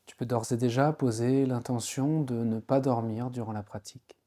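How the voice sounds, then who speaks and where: Male yoga nidra guide, medium pitch, soft warm timbre, calm instructional delivery with spacious pauses. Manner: slow, soothing, unhurried instruction with pauses. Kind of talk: guided relaxation meditation.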